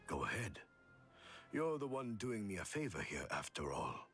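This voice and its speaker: An actor playing a calm, courteous old martial artist in a video game, speaking in a formal, measured way. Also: male voice, on the low side, faint music behind. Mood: wry, polite. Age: older. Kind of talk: scripted game voice acting.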